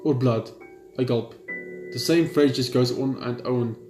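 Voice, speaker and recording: Young male let's player, halting narration, echoey room, clear background music. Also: mid-range voice. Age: young adult. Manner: halting read-aloud, stumbling over words. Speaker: gaming video host. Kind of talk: let's play, reading visual novel text aloud. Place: indoor room at home. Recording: roomy echo under game music.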